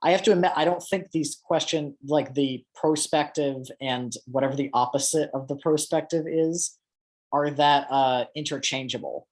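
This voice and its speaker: A man's voice: fairly high pitch, normal speed. A man offering a considered opinion in a meeting, correcting and refining his wording as he goes. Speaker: interviewer in training meeting. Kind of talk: reflective meeting discussion.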